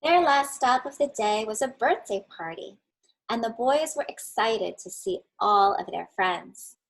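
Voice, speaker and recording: A woman reading a children's picture book aloud and then talking gently to young listeners with casual fillers. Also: fairly high pitch, lively intonation, measured pace, gravelly, poor audio. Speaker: children's story livestream reader.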